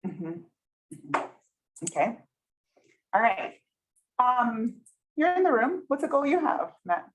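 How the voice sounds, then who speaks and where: A woman's voice: on the high side, sing-song, slow pace, pauses often, a bit bright, a little hiss or hum, rough recording. A woman whose first language is Spanish leads a community workshop in a chatty way, often checking in with the group. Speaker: workshop facilitator. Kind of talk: interactive workshop teaching. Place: meeting room, with some people online.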